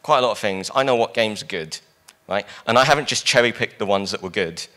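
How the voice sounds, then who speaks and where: Guy with a loud lowish voice giving a talk on stage, handheld mic, chatty and jokey. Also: quick pace. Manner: casual, joking with the audience. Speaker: conference speaker. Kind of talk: conference talk. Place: conference hall stage.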